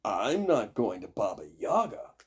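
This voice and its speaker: A man's voice: on the low side, very animated, unhurried, slightly rough, full character voice. An older man voicing selfish young stepsisters in a storybook, emphatic, whining and petulant. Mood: petulant, smug.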